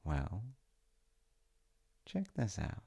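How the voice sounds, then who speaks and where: A man playing a playful, tender wizard fiancé in an intimate ASMR-style audio roleplay, speaking to the listener in a gentle, coaxing way. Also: low voice, huge pitch swings, drawn-out words, creaky, slightly rough, heavily acted. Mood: playful, excited. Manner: gentle, coaxing, often dropping into whispers. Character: playful, tender male wizard fiancé showing off magical home.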